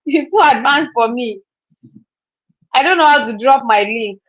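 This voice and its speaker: Brief: woman, 30s, chatty live stream host, higher voice, dull lo-fi audio. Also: unhurried, a bit bright. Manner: chatty, repeats herself, laughs often. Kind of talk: casual live stream chat.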